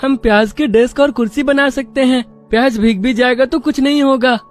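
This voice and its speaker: A man's voice: very high-pitched, steady tone, normal speed, faint music behind. A man voicing an earnest, respectful poor village schoolboy in a children's story. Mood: excited. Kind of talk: children's moral story voice acting.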